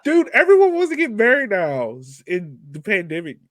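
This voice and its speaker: A man's voice: sits high, wildly swooping pitch, moderate pace, a bit bright, no room sound. A man co-hosting a soccer fan podcast, talking casually and informally about games in an upbeat way. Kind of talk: casual game-analysis chat.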